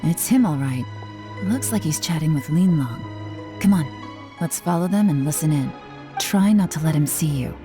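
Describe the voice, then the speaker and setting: A woman voicing a calm, shrewd investigator in a video game, composed, deliberate and used to giving orders. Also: sits low, over music. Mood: cool, measured. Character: cool, commanding female investigator, calm and shrewd.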